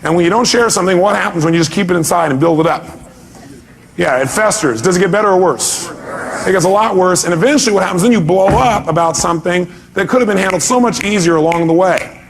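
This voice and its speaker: A man's voice: high voice, quick pace. A man speaking as a motivational seminar speaker, emphatic, putting rhetorical questions to his audience and often answering them himself.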